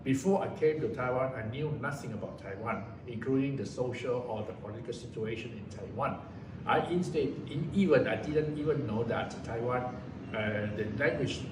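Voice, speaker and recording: A man in his seventies from Malaysia, giving a personal testimony in a halting way, often restarting and correcting himself. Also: mid-range voice. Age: 70s. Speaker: interviewee recounting his past. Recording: roomy echo from a distant mic, noisy background.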